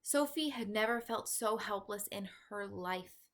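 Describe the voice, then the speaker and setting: A woman reading a children's book aloud as an expressive narrator. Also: medium pitch, measured pace. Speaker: storybook reader.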